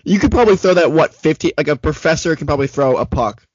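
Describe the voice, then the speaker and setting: A young man in casual podcast chat, joking and laughing through quick riffs. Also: on the high side, lively intonation, breathy edge, no echo. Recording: dull lo-fi dry recording.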